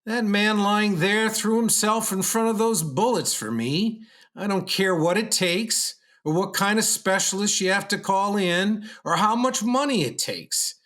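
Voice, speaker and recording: A man's voice: high-pitched, measured pace, few pauses, a bit bright. A man playing an insistent, commanding United States President in a radio play. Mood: demanding. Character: forceful, grateful male US President.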